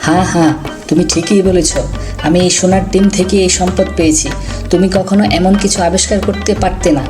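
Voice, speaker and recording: A woman's voice: on the low side, fairly even intonation, moderate pace, music in the background, lightly acted. A woman playing a smug, boastful newly rich cartoon character with a gloating manner. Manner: gloating, bragging. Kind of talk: cartoon voice acting.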